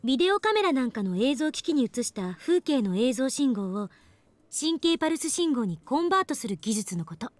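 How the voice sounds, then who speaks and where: An actress playing a brisk, confident young woman scientist explaining things in an anime visual novel. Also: high voice, sing-song, no room sound. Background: faint game music.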